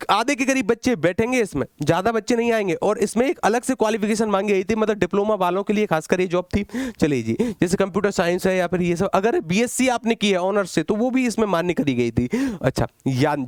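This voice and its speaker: A man's voice: high-pitched, dry recording, clean studio sound. A man lecturing students as an exam coach, speaking directly and conversationally and often repeating points for emphasis. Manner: asks questions and repeats the answers for emphasis.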